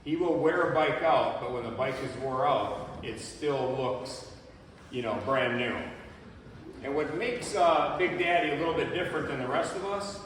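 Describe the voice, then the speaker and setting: Folksy man presenting at a school, slightly high voice, very echoey hall, distant mic, faint hiss. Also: normal speed, a bit bright. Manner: folksy, storytelling. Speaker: presenter introducing a biker group.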